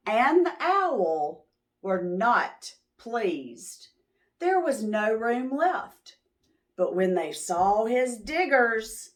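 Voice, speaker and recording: A woman reading a picture book aloud to young children, expressively, pausing to ask them questions. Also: mid-range voice. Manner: expressive, drawn-out words, teacherly prompting. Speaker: children's read-aloud storyteller.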